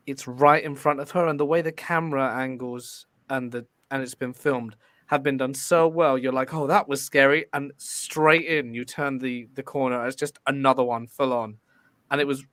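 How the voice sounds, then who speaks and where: A man with a medium-pitched voice gives his opinion in an informal debate. He is polite and explanatory, and the sound is dry, without room echo. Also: fairly bright tone. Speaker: guest panelist, podcast host. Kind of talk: casual debate about horror films.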